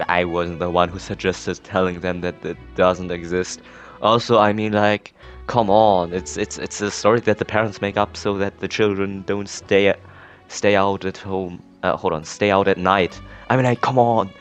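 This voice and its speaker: A young man giving casual, sarcastic game commentary, halting and repeating his words. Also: sits low, fairly even intonation, highs cut off. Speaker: gamer recording a playthrough. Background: faint game music.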